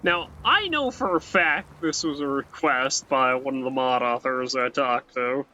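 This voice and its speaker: Slow, bright-voiced man rambling over gameplay. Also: mid-range voice. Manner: rambling, frequent "right?" tags and restarts. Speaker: let's play host. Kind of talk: casual gameplay commentary. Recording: muffled with faint background hiss.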